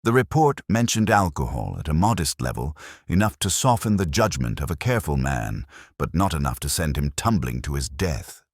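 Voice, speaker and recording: Casting: male actor for brooding, introspective detective telling his own mystery; rock-bottom pitch, formal measured phrasing with lively melodic movement. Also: no echo, clean studio sound, exaggerated voice. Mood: brooding, reflective. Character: brooding, sceptical English police detective, mature man, reflective. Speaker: narrator. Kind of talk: scripted first-person mystery narration, literary.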